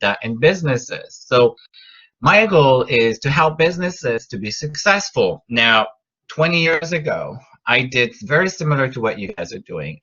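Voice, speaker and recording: French-Canadian man lecturing, bright voice. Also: medium pitch, muffled recording. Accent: Québécois French. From Quebec, Canada. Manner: rambling, restarts and fillers, rising emphasis on key words. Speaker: college professor. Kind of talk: online class lecture.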